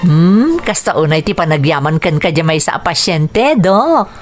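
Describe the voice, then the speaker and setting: A woman's voice: fairly low pitch, very animated, unhurried, over loud music. A woman with a Filipino accent acting as a playful hospital nurse in a radio drama. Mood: teasing. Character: Nurse Ellie, playful, teasing female hospital nurse.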